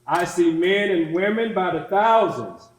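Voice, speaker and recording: An earnest civil rights era preacher played by a man delivers a stage sermon in deliberate, oratorical phrases. His voice sits fairly high for a man and rings in an echoey hall. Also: animated. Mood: solemn, exhorting. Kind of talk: staged sermon in readers theatre.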